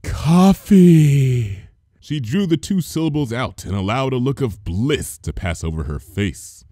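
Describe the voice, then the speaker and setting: Theatrical man narrating, wildly varied pitch. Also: normal speed, dry recording, studio quality. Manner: theatrical, expressive. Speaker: fan fiction reader. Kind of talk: dramatic scripted story narration.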